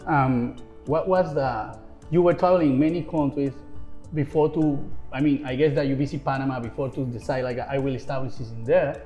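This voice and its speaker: A man with a mellow, dark voice hosts an interview. He asks his questions politely, with halting phrasing, and soft music plays behind him. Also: medium pitch, lo-fi sound. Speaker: interview host.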